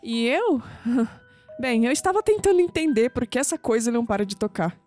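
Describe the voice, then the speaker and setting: An actress playing a guarded, teasing young woman researcher with a Brazilian accent in an audio drama, quick with sceptical retorts. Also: fairly high pitch, expressive, darkish tone, soft background music, close to an everyday voice. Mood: explaining, wry.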